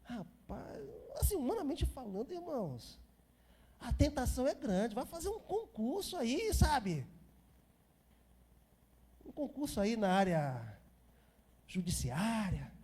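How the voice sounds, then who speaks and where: A man's voice: extremely high pitch, very animated, takes it slowly, long pauses, warm tone, husky. A Brazilian man in his fifties preaching an informal sermon as a pastor, repeating phrases for emphasis and chatting to the congregation. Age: 50s.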